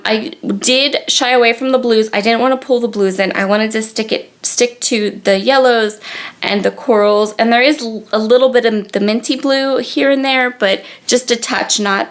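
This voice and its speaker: A young woman with a cold, chatting casually through a crafting voice-over, full of ums and restarts. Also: a little high. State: sniffly with a cold. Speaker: scrapbooking video creator. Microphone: tablet on a gooseneck.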